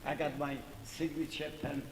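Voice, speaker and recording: An older man with a Polish accent and a high voice works a live charity auction. His phrasing is halting and teasing, full of jovial banter. He is picked up from a distance in a noisy, reverberant hall. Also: little pitch movement. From Poland. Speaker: musician running a charity auction. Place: large indoor hall at a live event. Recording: echoey hall, distant mic, clear room noise.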